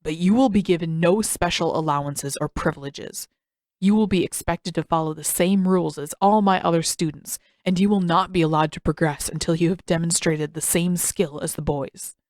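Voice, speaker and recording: A woman's voice: on the low side, normal speed, darkish tone, no echo, clean studio sound, lightly acted. A woman voicing a strict, stubborn older male master in a fantasy story, clipped and grudging.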